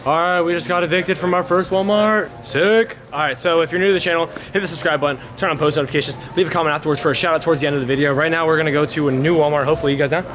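Fast-talking teenage guy vlogger. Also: a little high, fairly even intonation. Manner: slangy, swearing. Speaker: vlogger, prankster host. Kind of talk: casual hyped vlog chat. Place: big-box store and its parking lot at night. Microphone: handheld camera at arm's length.

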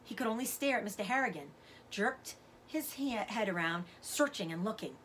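A middle-aged woman reading a children's novel aloud as a teacher does, with expressive narration. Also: mid-range voice, moderate pace, a little hiss or hum. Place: indoors, room with bookshelves.

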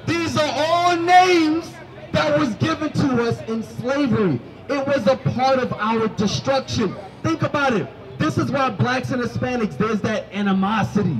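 A young man preaching on a city sidewalk into a handheld microphone, commanding and questioning his listeners, often rising to shouts. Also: very high-pitched, low-quality recording. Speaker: street preacher. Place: city sidewalk by a food cart.

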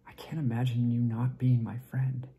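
Man acting a creaky, hushed, lovesick young man. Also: medium pitch, little pitch movement, natural voice. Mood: aching, confessing. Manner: halting, repetitive, breathy drops to near-whisper. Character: tipsy, lovesick young man confessing to a friend. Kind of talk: dramatic stage monologue rehearsal.

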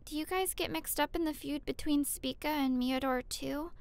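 Woman voicing an earnest schoolgirl, high voice, quick to fire up, fan dub. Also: steady tone, creaky. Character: earnest schoolgirl, eager and impulsive, teenage. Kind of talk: amateur anime fan dub.

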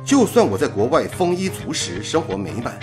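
A man's voice: medium pitch, normal speed, music in the background. An actor playing a dedicated young male radio engineer in a period radio drama, speaking earnestly and steadily. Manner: earnest, steady, gently persuasive. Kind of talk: 1930s-set radio drama acting.

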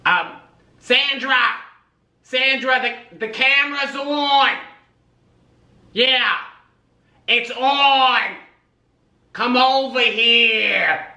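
Man doing a slow old grandpa, roomy room. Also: very high-pitched, pushed and bright, pitched above the performer's own voice, full character voice. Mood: calling out. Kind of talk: comic character monologue. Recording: roomy kitchen, distant camera mic.

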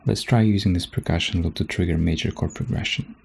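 A man narrating a software tutorial from a script, as a presenter explaining step by step. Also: low voice, steady tone, brisk, vocal fry, noisy background.

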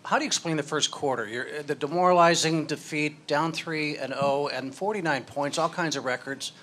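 A man working as a sports reporter, asking a wordy, halting question at a press conference. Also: fairly high pitch, a bit bright, poor audio.

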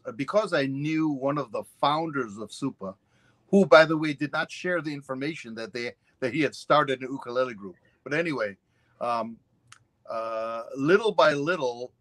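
A man's voice: medium pitch, fairly bright tone, no echo. A man in his sixties from Hawaii, a ukulele teacher telling his story on a video call, wandering through asides and fillers. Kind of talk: casual interview chat. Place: home room on a video call. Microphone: webcam on a video call.

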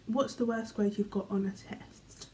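Young British woman for a casual bedroom vlog, warm and mellow, rambling, trailing off softly, faint room hum. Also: medium pitch, steady tone, measured pace. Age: young adult. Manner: chatty, filler-heavy, often trails off quietly. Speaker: vlogger. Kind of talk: casual chat, reading questions aloud. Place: small bedroom, indoors. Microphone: camera in front, medium framing.

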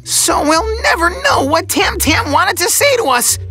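A man voicing an excitable, opinionated little woodpecker in a children's cartoon, with a squeaky, scratchy voice and theatrical, exclamatory delivery. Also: vocal fry, faint music behind, full character voice. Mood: agitated. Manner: exclamatory, theatrical, drawn-out words. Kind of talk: children's animated series voice acting.

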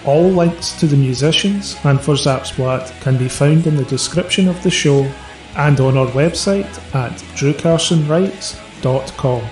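A male announcer reads scripted credits at a slow pace. His mid-pitched voice has a roomy echo, and faint guitar music plays underneath. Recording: roomy sound. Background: soft guitar music.

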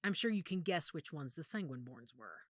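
Woman acting low-voiced, expressive female werewolf lore-keeper. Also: brisk, no room sound, studio quality. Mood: serious, explanatory. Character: steady, knowledgeable female werewolf pack member explaining history. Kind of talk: audio roleplay drama acting.

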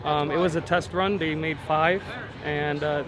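A man in his thirties, a car owner giving a relaxed interview at an outdoor racetrack, repeating words and using many fillers. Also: a little high, measured pace, crowd noise. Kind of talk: casual interview.

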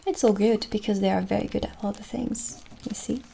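A woman in her thirties doing a gentle, soft-spoken ASMR roleplay as a witch shopkeeper, unhurried and often slipping close to a whisper, in a small room. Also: medium pitch, steady tone, vocal fry, a little hiss or hum. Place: small dim indoor room set as a candlelit shop. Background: original music.

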